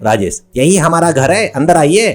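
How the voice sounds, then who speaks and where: A man's voice: fairly high pitch, sing-song, normal speed, soft background music, voice pushed up. A man voicing an eager, affectionate young village bridegroom in a cartoon story. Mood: welcoming. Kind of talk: Hindi cartoon story voice acting.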